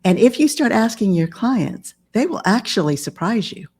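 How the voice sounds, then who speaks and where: A woman in her fifties, a business coach and author, speaking calmly and in a measured way as a podcast guest. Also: medium pitch, slightly rough. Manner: calm, measured. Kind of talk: podcast interview conversation.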